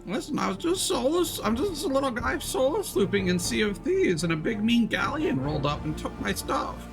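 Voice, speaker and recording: A man's voice: extremely high pitch, sing-song, normal speed. A man live streaming a video game with casual, playful, joking commentary. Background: game music.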